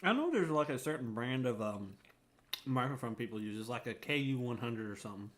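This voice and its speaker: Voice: mid-range voice, animated, a little fast. A streamer chatting casually and playfully on a livestream. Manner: playful humming while eating. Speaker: game streamer.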